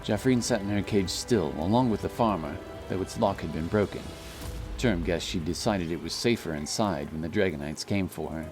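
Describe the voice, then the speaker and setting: A man's voice: low-pitched, moderate pace, over music. A man reading scripted, dramatic narration for a fantasy story.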